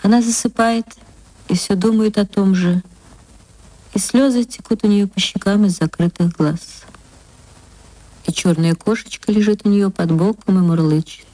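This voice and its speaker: A woman, an actress, reading a short story aloud in an expressive, tender and dramatic way. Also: medium pitch, moderate pace, pauses often, no room sound, low-quality recording. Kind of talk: expressive literary narration.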